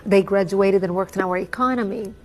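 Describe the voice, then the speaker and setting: A woman, giving a measured, earnest personal testimony with frequent ums. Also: mid-range voice, slight background noise. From Lebanon. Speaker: interviewee.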